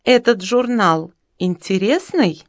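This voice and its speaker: Luda, a friendly young student in a course dialogue. She speaks at a very slow, clear pace in a mid-range voice. The sound is dry and close. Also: medium pitch, dry recording. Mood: plain. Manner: clearly enunciated, even. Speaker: dialogue reader in a language course.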